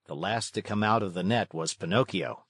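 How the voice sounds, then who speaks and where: A man's voice: low voice, moderate pace, a bit bright, slightly rough, no room sound. Man narrating a children's storybook, dry recording.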